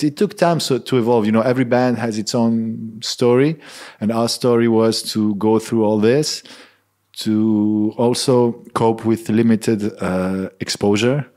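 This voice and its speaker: A man in his thirties from Switzerland, a band member talking casually in an interview, earnest and often restarting his sentences. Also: a little mellow. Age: 30s. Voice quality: low-pitched voice. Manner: earnest, searching for words, frequent restarts.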